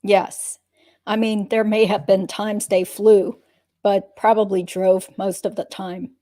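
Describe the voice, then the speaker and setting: A woman acts as a guarded female witness in a legal deposition reading. Her voice is soft-edged and mellow, at a middling pitch. Answers come measured and matter-of-fact. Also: little pitch movement, lightly acted. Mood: guarded, terse. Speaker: reader playing a witness.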